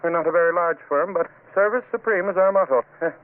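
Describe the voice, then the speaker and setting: An actor playing a fussy, flustered rental agent who stammers and repeats himself with nervous formality, in old-time radio drama style. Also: male voice, high-pitched, fast talker, natural voice. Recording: thin, phone-like old radio recording, faint noise.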